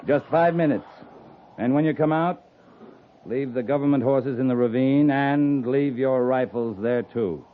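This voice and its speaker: An actor playing a stern, shrewd, commanding US Army cavalry captain in 1950s radio western style. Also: male voice, medium pitch, unhurried, slight background noise, lightly acted. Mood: stern, challenging. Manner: commanding, clipped, sternly challenging. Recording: muffled lo-fi 1950s radio recording.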